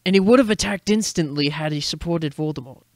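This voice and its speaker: A woman's voice: low voice, a little fast, darkish tone, no room sound, studio quality. A woman reading character lines in a dramatic reading of a fantasy story. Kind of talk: dramatic reading of fan fiction.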